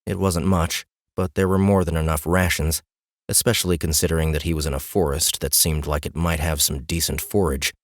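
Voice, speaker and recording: A man's voice: very deep voice, little pitch movement, a little fast, dry recording, studio quality. A man reading a scripted fantasy story as an audiobook narrator.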